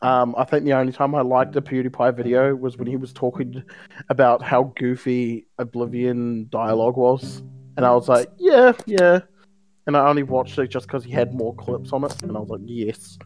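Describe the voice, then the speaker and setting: A man's voice: mid-range voice, moderate pace, darkish tone, faint music behind. Laughing young man in rambling chat. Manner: rambling, with lots of laughter and fillers. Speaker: podcast co-host. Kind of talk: casual joking chat.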